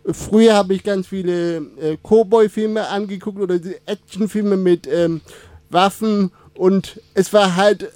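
A young man with Turkish as his first language talks at an unhurried pace in a high, soft-edged voice. He stops, restarts and fills gaps often, like a casual guest feeling his way through questions. The sound is dry and close. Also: steady tone, dry recording. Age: 20s. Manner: halting, many fillers and restarts. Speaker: radio guest. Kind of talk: casual interview.

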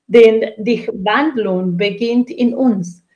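A woman's voice: on the high side, slow pace, darkish tone, muffled recording. A middle-aged woman with a Latin American accent, giving earnest, reflective answers as an interview guest. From Chile and Brazil. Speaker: interview guest, trainer and coach. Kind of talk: reflective interview answer about own life and work.

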